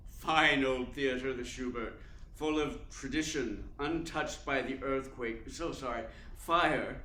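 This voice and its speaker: Guy acting a suave sly theater critic in a radio play, formal and deliberate, echoey room w/ faint noise. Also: medium pitch, bright, forceful tone. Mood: suave, probing. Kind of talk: radio play narration and dialogue. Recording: roomy echo, faint background noise.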